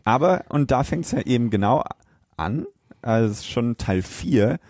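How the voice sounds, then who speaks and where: A man with a German accent hosting a podcast, explaining facts in a casual, halting way. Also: mid-range voice, expressive, a little mellow, dry recording, clean studio sound. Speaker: podcast host.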